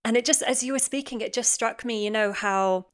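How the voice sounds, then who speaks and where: A woman's voice: on the high side, fairly quick. A woman hosting a podcast interview who responds to her guest in a reflective, affirming way. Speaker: podcast host. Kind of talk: interview conversation.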